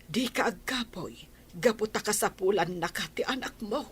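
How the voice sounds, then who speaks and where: A woman voicing an older, devout grandmother who gives her daughter patient advice, with a husky voice. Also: mid-range voice, animated, moderate pace. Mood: soothing.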